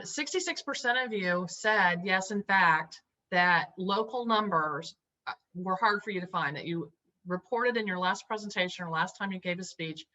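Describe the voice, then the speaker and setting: Bright-voiced woman hosting a webinar. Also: fairly low pitch, lo-fi sound. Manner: brisk, explaining poll results. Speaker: webinar host. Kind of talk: webinar moderation.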